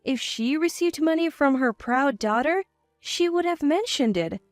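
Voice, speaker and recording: A woman's voice: high-pitched, lively intonation, normal speed, no echo, soft background music. A woman reading a scripted first-person story as its narrator.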